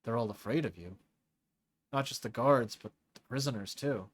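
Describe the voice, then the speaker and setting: A man's voice: mid-range voice, moderate pace, close to an everyday voice. A man doing a wary young prison chief.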